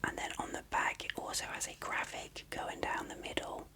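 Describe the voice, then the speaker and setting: Whispering ASMR presenter, unvoiced and breathy. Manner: soft-spoken, unhurried, explanatory. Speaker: video presenter. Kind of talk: ASMR whispered unboxing narration.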